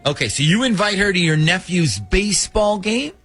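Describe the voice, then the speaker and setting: A man with an American accent hosting a radio talk show, joking and chatting in a lively, animated way. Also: high voice, moderate pace, breathy edge. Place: radio studio. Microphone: close studio microphone. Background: music bed.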